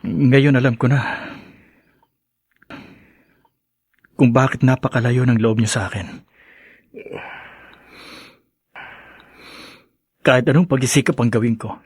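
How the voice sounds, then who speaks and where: An actor as a devoted, self-doubting young man in a melodrama. His mid-pitched voice is breathy and rough-edged, recorded dry, with a halting, heartfelt delivery. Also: fairly even intonation, darkish tone, no echo, lightly acted. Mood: hurt, pleading. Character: earnest, lovelorn, self-deprecating young man, gentle and devoted. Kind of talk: melodramatic radio-style drama acting.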